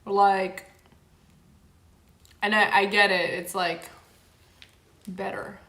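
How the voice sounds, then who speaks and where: A woman's voice: medium pitch, flat delivery, very slow, fairly bright tone. Rambling young woman in an echoey room. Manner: rambling, filler-heavy, frequent "like". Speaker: livestream host reading chat. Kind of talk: casual livestream chat. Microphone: camera mic.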